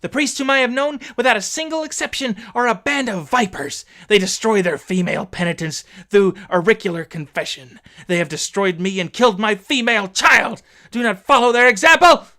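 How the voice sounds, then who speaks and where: A man voicing a deranged, vengeful woman in a storybook narration, shrill, wailing and menacing. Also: fairly quick, few pauses, bright, forceful tone. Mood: bitter warning. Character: frenzied, deranged ghostly-pale woman, shrieking and vengeful.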